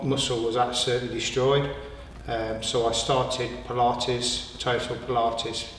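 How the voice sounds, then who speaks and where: Man, 50s, testimonial; flat, even delivery, distant mic in a reverberant hall. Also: medium pitch. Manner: plain, matter-of-fact, with many "uh" fillers. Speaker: client giving a testimonial. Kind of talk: interview testimonial. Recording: echoey hall, from far off.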